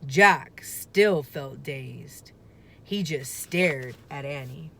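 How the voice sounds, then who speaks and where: A woman reading a children's story aloud in a deliberate, expressive narrating style. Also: a bass voice, vocal fry. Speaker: storybook reader.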